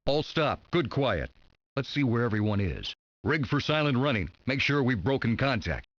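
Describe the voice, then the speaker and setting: An actor playing a terse submarine captain giving clipped orders in an old game. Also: male voice, medium pitch, big pitch swings, normal speed, fairly bright tone, no room sound, highs cut off. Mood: commanding. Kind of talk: 1990s game acting.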